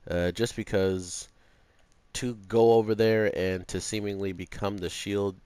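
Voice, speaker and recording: Low-voiced man rambling through a review. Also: steady tone, a little slow, warm tone, clean tone, no room sound. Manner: hesitant, meandering, informal with slang. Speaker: anime review YouTuber. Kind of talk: rambling unscripted review commentary.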